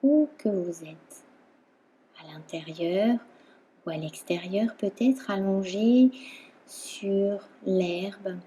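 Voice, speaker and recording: A woman instructor guiding a relaxation meditation in a calm, soothing manner, leaving long pauses between phrases. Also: fairly low pitch, husky. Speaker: yoga nidra instructor.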